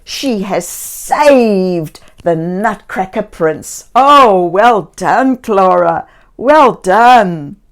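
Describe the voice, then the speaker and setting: Slow, expressive woman reading a children's story. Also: medium pitch. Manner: theatrical, with hushed whispers and exclamations; addresses young listeners with gentle questions. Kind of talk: expressive picture-book read-aloud for young children.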